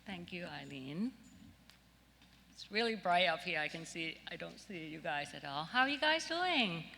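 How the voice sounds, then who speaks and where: A woman's voice: lowish voice, sing-song, moderate pace, creaky, bright, forceful tone, a little hiss or hum. A middle-aged woman from Thailand with a Thai accent, giving an informal, conversational keynote built on personal stories. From Bangkok, Thailand. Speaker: keynote speaker, creative director. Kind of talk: conference keynote, personal storytelling. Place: large auditorium stage. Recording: reverberant auditorium with long echo.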